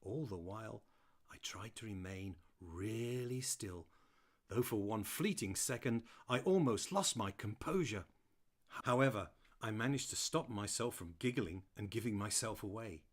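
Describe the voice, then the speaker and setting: A man voicing a playful, chatty terrier who tells his own story in an animated, mischievous manner, as audiobook narration. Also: fairly low pitch, measured pace. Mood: mischievous, fond. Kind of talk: first-person audiobook narration.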